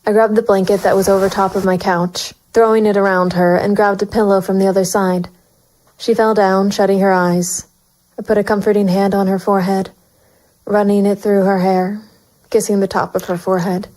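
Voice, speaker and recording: A woman's voice: mid-range voice, little pitch movement, normal speed, darkish tone, close to an everyday voice, poor audio. A woman playing a brooding, conflicted private investigator narrating a noir audio drama. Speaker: narrator and lead actor.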